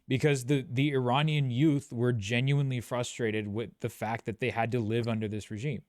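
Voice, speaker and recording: A man hosting a political stream, asking questions in a measured, hedging way, with many restarts. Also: medium pitch, steady tone, background noise. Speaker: streamer host. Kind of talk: casual political discussion.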